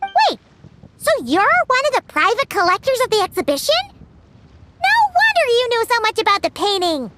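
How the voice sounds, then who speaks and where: Tiny, squeaky, cheeky game sprite. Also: female voice, moderate pace, slight background noise, heavily acted. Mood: astonished. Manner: blunt, excitable, squeaky. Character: tiny, cheeky, childlike floating companion sprite. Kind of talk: scripted video game dialogue.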